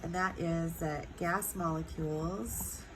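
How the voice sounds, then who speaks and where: A congested woman with a low voice and a stuffed-up nose walks through a chemistry lesson. She talks slowly, stretching words and filling pauses with ums. The recording carries a steady noisy hiss behind her. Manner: unhurried, many ums, drawn-out words. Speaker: chemistry teacher.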